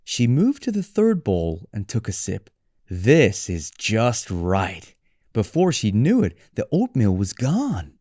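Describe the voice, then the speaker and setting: A man reading a children's story as a storyteller, with deliberate, expressive delivery. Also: medium pitch, dry recording, studio quality. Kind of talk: scripted children's story narration for English learners.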